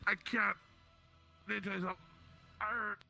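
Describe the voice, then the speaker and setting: A man's voice: high-pitched, flat delivery, normal speed, creaky, pushed and bright. A man improvising roleplay talk in an online game. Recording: game voice chat with music behind.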